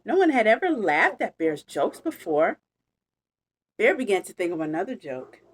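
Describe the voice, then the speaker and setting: A woman reading a picture book aloud as a storytime host, expressive and chatty with casual asides. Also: medium pitch, moderate pace. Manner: animated, chatty, savouring phrases. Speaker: storytime reader.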